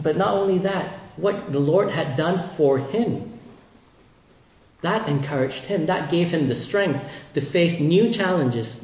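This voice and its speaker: A man's voice: fairly high pitch, gravelly, a little mellow. Earnest Malaysian-born male preacher, echoey room. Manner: earnest, repeats words while building points. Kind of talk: sermon.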